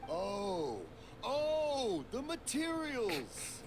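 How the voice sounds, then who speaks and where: Hearty old dad who cant hear well, anime game, rambly, swoops all over, noisy background. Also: male voice, very high voice, sing-song, extremely slow, exaggerated voice. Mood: sheepish. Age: older. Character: jolly, rambling, hard-of-hearing old father, proud craftsman.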